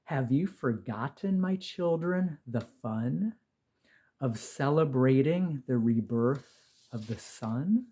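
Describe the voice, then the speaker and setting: A man voicing a stately old earth-goddess crone in a storybook poem, solemn, coaxing and motherly as she gently chides. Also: medium pitch, lively intonation, takes it slowly, slightly rough. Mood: gently chiding.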